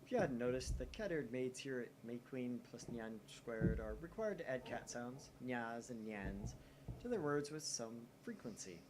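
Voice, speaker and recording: A man's voice: medium pitch, sing-song, moderate pace, background noise. Man reading a visual novel aloud. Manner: wry, reads narration with commentary. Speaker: let's-play host.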